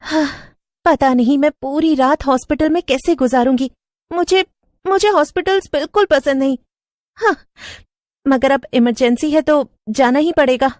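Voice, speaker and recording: Woman voicing an anxious, high-voiced young receptionist. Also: moderate pace, dry recording, clean studio sound, natural voice. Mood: hesitant. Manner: expressive, questioning, hesitant. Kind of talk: dramatic cartoon story voice acting.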